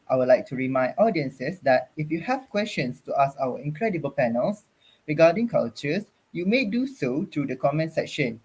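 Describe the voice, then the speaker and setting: Young man, from Malaysia, husky voice, slightly high pitch, formal forum moderator on a video call. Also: a little mellow, low-quality recording. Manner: formal, ceremonious phrasing.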